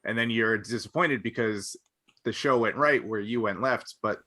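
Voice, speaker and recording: A man's voice: on the low side, moderate pace, pushed and bright. A man co-hosting a podcast, explaining his thoughts in a rambling, thoughtful way during a casual discussion. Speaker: podcast co-host.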